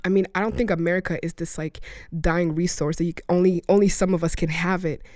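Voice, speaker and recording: A woman's voice: lowish voice, steady tone, quick pace, darkish tone, no echo, clean studio sound. A woman in her late twenties from Rwanda, whose first language is Kinyarwanda, talking as an interview guest with frequent laughs and restarts. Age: 20s. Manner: laughs often, many restarts and trailing clauses. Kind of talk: casual interview storytelling.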